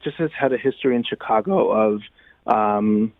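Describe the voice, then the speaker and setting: Halting man on a band-limited line. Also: on the low side, no echo, narrow, tinny audio. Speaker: guest playwright. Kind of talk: conversational radio interview.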